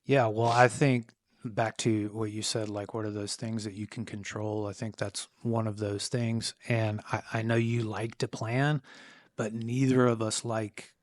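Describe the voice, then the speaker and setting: A man's voice: fairly low pitch, level tone, no room sound. A man co-hosting a casual podcast chat with his partner, speaking hesitantly with frequent restarts. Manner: hesitant, halting, restarts often. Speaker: podcast co-host.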